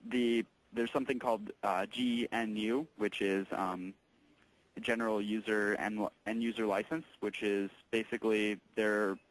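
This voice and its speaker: Male store tech, lower register, casual phone explanations, patient, halting, informal delivery. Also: poor audio. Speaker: electronics store tech support employee taking a call.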